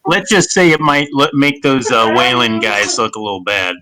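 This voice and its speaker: A man playing a polite marshal investigator in a tabletop game, informal and halting as he talks. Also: medium pitch, sharp tone, lightly acted, rough recording. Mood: wry.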